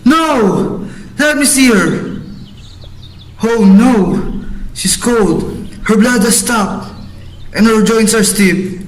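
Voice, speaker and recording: Man playing high-voiced, commanding lord and father. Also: extremely slow, long pauses. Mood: grieving. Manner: commanding, declamatory. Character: bossy, impatient lord and father, later grieving. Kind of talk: amateur radio drama acting. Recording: echoey room.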